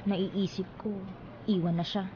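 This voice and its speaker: A Filipino actress playing a frustrated, volatile and jealous wife in a melodramatic radio drama. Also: female voice, medium pitch, steady tone, unhurried, mellow, lo-fi sound, a little hiss or hum, natural voice. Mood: hurt, resentful. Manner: emotional, melodramatic outbursts. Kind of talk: Philippine radio drama acting. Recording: radio drama with music underneath.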